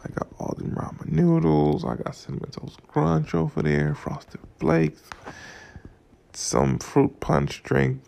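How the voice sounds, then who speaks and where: Groggy gravelly young man rambling. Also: a little high, big pitch swings, takes it slowly, dark tone. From Florida. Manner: loose, profane, drifts between stories and life lessons. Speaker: vlogger. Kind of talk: casual rambling monologue.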